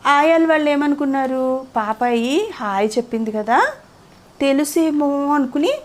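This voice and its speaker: A woman's voice: high voice, lively intonation, measured pace. A woman telling a moral story conversationally to a child, often stopping to ask questions. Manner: interactive, asks the child questions.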